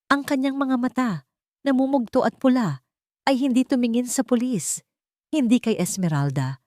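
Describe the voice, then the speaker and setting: A woman reading a dramatic scripted story as narrator, in a measured and solemn delivery. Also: fairly high pitch, warm tone, dry recording, clean studio sound.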